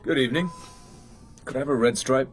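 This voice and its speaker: An actor playing a suave, world-weary British secret agent in a radio drama, with an English accent and a clipped, dry, understated delivery. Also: male voice, mid-range voice, a little fast, soft background music, natural voice. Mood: casual, charming.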